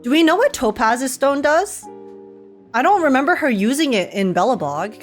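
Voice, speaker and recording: A woman streamer giving casual live reactions to a game, chatty and giggly, thinking out loud. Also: a little high.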